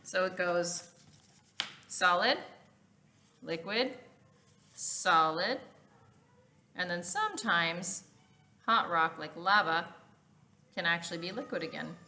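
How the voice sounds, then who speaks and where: A woman reading a children's storybook aloud as a teacher, with clear emphasis, explanatory asides and questions to listeners. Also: on the low side, animated, slow pace, pauses often, bright, forceful tone, slight background noise.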